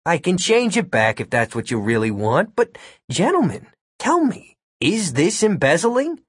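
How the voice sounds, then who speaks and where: A man performs a male hacker in an audiobook, cheeky and politely smug. He reasons calmly and persuasively, with a lively rise and fall. The sound is close and dry. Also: on the high side, unhurried, husky, no room sound, pitched above the performer's own voice, full character voice. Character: calm, cheeky, smooth-talking male computer hacker. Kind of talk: audiobook character voice.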